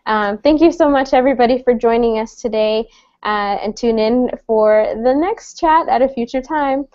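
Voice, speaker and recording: A friendly woman in her thirties, originally from El Salvador, moderates an online panel with a slightly high voice. She talks chattily, full of fillers and restarts. The sound is dry, dull and lo-fi. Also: normal speed, mellow, no room sound.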